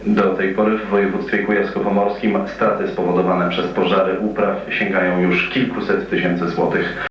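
Man, lowish voice, news report, dull echoey sound taped off a TV. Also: little pitch movement, normal speed, far from the mic, noisy background.

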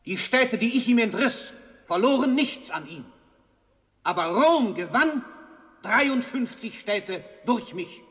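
An actor playing a proud, defiant dead Roman general on trial, declaiming in a radio play. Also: male voice, very high-pitched, animated, takes it slowly, fairly bright tone, heavily acted. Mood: defiant, argumentative. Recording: long hall reverb.

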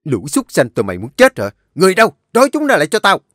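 A man's voice: lowish voice, expressive, fairly quick, a little mellow, no room sound, studio quality. A man voicing a stern young master of a rich house who barks orders at servants. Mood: commanding. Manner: barking orders.

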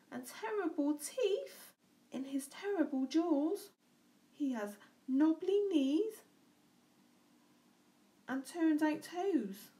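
Woman putting on a high, cheeky little storybook mouse. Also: dark tone, exaggerated voice. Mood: startled. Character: small, cheeky, boastful, quick-witted male mouse.

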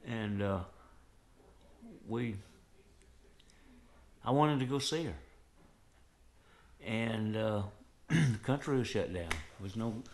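An older man with a Southern US accent, telling a personal story to camera in an earnest, rambling way, with frequent "and, uh" fillers. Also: lowish voice. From Southern United States. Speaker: vlogger. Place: living room, indoors.

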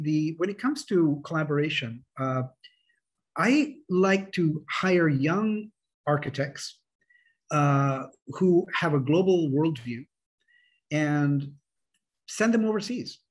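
A middle-aged man speaks on an online panel in a fairly high, husky voice. He explains his approach as an architect would to peers. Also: a little mellow. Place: indoors on a video call. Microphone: video call microphone.

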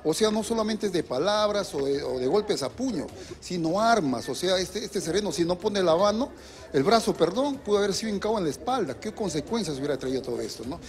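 A man's voice: high-pitched, animated, fairly quick. A middle-aged Peruvian man, a municipal security manager, answering earnestly in a live outdoor TV interview with fillers and restarts. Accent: Peruvian Spanish. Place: outdoors on a city street. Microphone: handheld reporter mic. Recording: music bed underneath.

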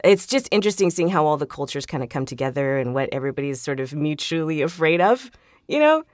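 A woman talking casually and chattily as an interview guest, telling stories in an animated way. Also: deep voice, fast, dry recording, studio quality. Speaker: podcast guest, video game voice actor.

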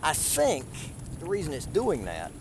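A man's voice: on the high side, huge pitch swings, a little slow. A middle-aged man talking casually while sailing, with wry, offhand remarks. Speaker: sailor filming himself. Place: outdoors on a small sailboat on a lake. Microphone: onboard action camera. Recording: heavy wind and water noise outdoors. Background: wind, water and boat noise.

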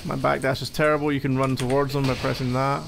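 A man playing a needy young gamer boyfriend in an ASMR roleplay, halting and teasing, with playful exasperation as he explains a game. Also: medium pitch, flat delivery, gravelly, noisy background, lightly acted. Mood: casual explaining. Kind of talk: ASMR boyfriend roleplay, improvised-sounding casual chat.

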